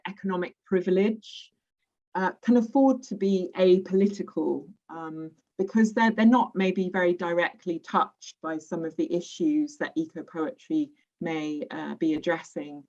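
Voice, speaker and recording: A woman poet answering questions in an online session, speaking in a thoughtful, measured way with frequent pauses. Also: fairly low pitch. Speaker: poet and workshop leader. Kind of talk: online talk and Q&A. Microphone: video call. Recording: lo-fi video call audio.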